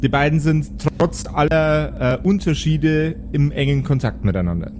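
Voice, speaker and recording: A man's voice: fairly high pitch, moderate pace. A man narrating as game master in an improvised tabletop audio play, feeling his way through descriptions over a noisy recording. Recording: clearly noisy background.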